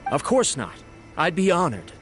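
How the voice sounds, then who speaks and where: Keen young retainer for a game: high, animated, respectful, clear music behind. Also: male voice, moderate pace. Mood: eager, respectful. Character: eager, deferential young male clan retainer. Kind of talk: scripted video game dialogue.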